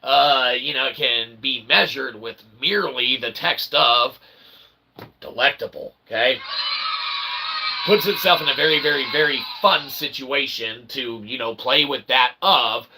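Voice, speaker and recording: A man's voice: mid-range voice, measured pace, noisy background. A man giving a rambling comedic monologue as a vlogger, with convoluted, mock-intellectual phrasing and frequent tags like okay and eh. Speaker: vlogger, self-published author.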